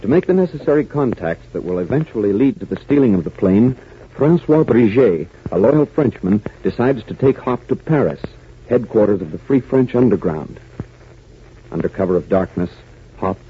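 An American man announcing and narrating a radio adventure serial in a dramatic, emphatic 1940s style. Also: low voice. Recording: muffled, hissy 1940s radio recording.

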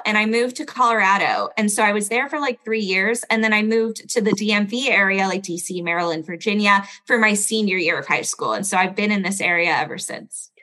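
A woman's voice: medium pitch, sharp tone. A young woman with a standard American accent, a podcast guest talking casually and chattily, with lots of "like" and easy laughs. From born in Belgium, raised overseas. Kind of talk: casual interview chat. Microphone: studio podcast mic.

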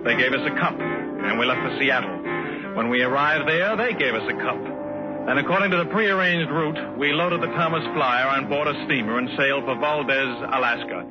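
An actor playing a dryly matter-of-fact male race driver, clipped and deadpan, in 1950s radio drama style. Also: mid-range voice, moderate pace, loud music behind the voice, close to an everyday voice. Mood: deadpan narrating. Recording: dull old 1950s radio broadcast.

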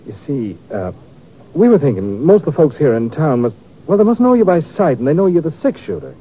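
An actor playing a gloomy, nervous, failing travelling stage player in a 1950s radio western, speaking hesitantly. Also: male voice, a little high, big pitch swings, brisk. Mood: nervous, coaxing. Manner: hesitant, stammering. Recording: muffled, noisy old radio recording.

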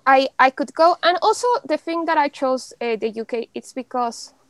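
A young woman from Mexico in casual conversation, chatty, with many fillers and an animated, often laughing delivery. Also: sits high, normal speed, no room sound. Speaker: podcast guest.

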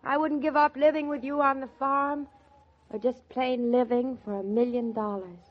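A woman acting a whimsical young wife in a 1940s radio play. Her high voice is earnest and full of wonder. The recording is old and muffled. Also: steady tone, moderate pace, lightly acted. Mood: earnest, delighted. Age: young adult. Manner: gushing, wonder-struck.

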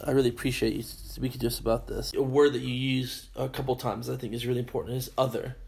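A man interviewing a guest, speaking gently and reflectively, affirming what she says. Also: mid-range voice, level tone, quick pace, vocal fry. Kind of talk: conversational interview.

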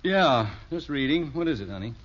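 A man's voice: medium pitch, animated, moderate pace, a little hiss or hum, natural voice. An actor playing a wry, easygoing middle-aged American father in a 1950s radio sitcom, dry and mock-formal. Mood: wry, amused. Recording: muffled old radio recording.

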